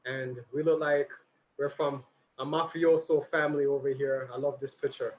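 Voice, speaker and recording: A man's voice: mid-range voice, level tone, normal speed, a little hiss or hum, poor audio. A man hosting a birthday tribute for his father, reading out messages with chatty, informal asides as his voice grows tired. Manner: informal, chatty, slight stumbles. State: tiring, slightly hoarse voice.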